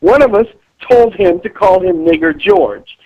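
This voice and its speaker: A man's voice: high voice, animated, a little slow, no echo. A middle-aged American man arguing bluntly and dismissively on a phone call, lecturing the other person. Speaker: father taking a phone call. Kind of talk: heated phone argument. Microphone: telephone. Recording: dry phone call audio.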